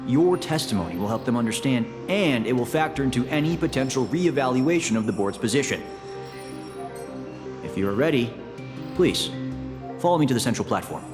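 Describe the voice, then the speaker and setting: A man's voice: medium pitch, expressive, fairly quick, long pauses, close to an everyday voice. An actor playing a polite, formal male meeting moderator in a video game. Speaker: game character. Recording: long reverb over music.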